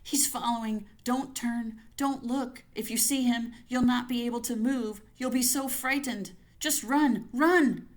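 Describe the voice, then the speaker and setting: A woman's voice: medium pitch, animated, measured pace, hardly any pauses, fairly bright tone, voice pushed up. A woman voicing a terrified woman fleeing home at night, pleading frantically and breathlessly to herself. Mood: panicked pleading.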